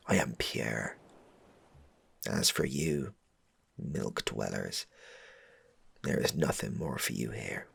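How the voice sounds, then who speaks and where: A man voicing a solemn, cryptic ritual leader in a comic fantasy audio play, slow, grave and pompous, with a low gravelly, breathy voice. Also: no echo, heavily acted. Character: solemn, cryptic doom-saying leader of a milk-fearing ritual.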